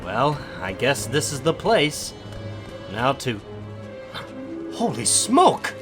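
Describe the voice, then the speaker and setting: A man's voice: medium pitch, big pitch swings, slow pace, over music. A man voicing a cocky, wisecracking young superhero in a comic book dramatic reading, boyish, breezy and slangy. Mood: eager, surprised.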